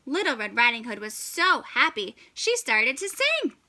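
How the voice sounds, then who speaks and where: A woman reading a children's story aloud in an animated, expressive way with dramatic pauses. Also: fairly high pitch.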